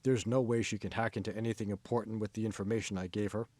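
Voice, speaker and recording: A glum young programmer acted by a man answers in a low, subdued voice. He sounds sulky and sarcastic, as audiobook dialogue in a dry recording. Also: level tone, quick pace, darkish tone, no room sound, lightly acted. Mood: doubtful.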